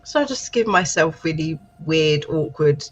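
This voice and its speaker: A woman's voice: sits low, animated, moderate pace, husky, lo-fi sound. A British woman co-hosting a casual writing livestream, chatting and reading out viewer comments encouragingly. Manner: chatty, encouraging, thinks aloud. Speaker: livestream co-host.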